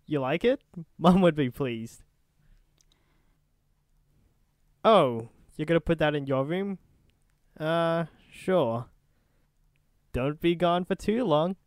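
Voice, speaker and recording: A man's voice: on the high side, animated, slow pace, no echo, studio quality. A man playing a nosy, easygoing young friend in an ASMR roleplay, casual and teasing. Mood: friendly.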